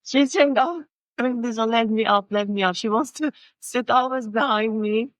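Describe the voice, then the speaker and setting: A middle-aged woman with a Persian accent, a native Farsi speaker, talking in a casual interview, halting and searching for words with many restarts. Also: on the high side, no room sound. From Iran. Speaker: guest, designer and language coach.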